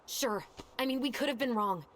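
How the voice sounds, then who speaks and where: A woman's voice: a little high, normal speed, natural voice. A woman playing a guarded, exasperated young adult sister in naturalistic video game dialogue. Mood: insistent, troubled.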